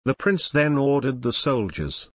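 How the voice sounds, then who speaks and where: A man's voice: mid-range voice, very animated, a little slow, no room sound, studio quality. A man narrating a children's story from a script. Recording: music under the voice. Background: background music.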